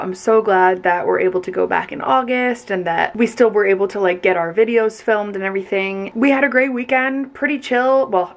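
A woman's voice: mid-range voice, creaky. A young woman in her twenties talking casually to a handheld camera, chatty and upbeat with many "like"s. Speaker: vlogger. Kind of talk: casual vlog chat to camera. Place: kitchen, car and closet indoors. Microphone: handheld camera at arm's length.

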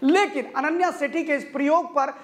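Woman news presenter, high voice, brisk even delivery, scripted narration, speaks to the viewer.

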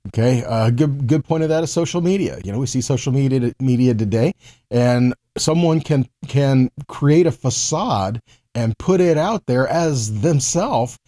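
A man's voice: medium pitch, expressive, unhurried, warm tone. An American man in his forties giving a conversational Bible teaching, explaining step by step and checking in with short tags. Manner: explanatory, with frequent check-in tags like okay and right.

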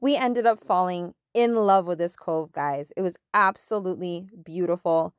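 A woman's voice: on the low side, normal speed, clean tone, dry recording, studio quality. A woman narrating her own camping adventure in an easy, chatty way, often talking straight to her viewers. Parts are recorded outdoors at a desert lakeside camp, picked up by a camera mic. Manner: friendly, chatty, addresses viewers as guys. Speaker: vlogger. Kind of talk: casual camping vlog narration.

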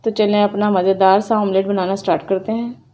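Mellow-voiced woman explaining a recipe. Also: medium pitch, fairly quick. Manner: calm, methodical, names each step as she works. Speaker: cooking channel host. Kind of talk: step-by-step cooking instructions. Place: home kitchen.

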